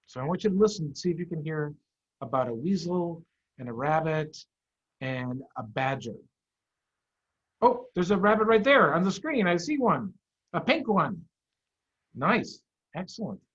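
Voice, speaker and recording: A man, a head of school, chatting to children and reading them a story in an animated way over a video call. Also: a little high, breathy edge. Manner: animated, addressing children with simple questions. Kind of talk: children's storytime read-aloud. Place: office. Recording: dull, lo-fi video-call audio.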